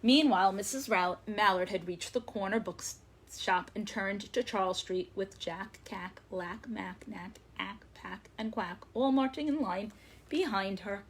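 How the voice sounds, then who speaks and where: Lady librarian doing storytime for little kids, expressive, draws words out, bit of noise on the livestream. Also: medium pitch, measured pace. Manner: expressive, emphatic, lingers on words for young listeners. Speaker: children's librarian hosting storytime. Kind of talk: read-aloud storytelling for young children.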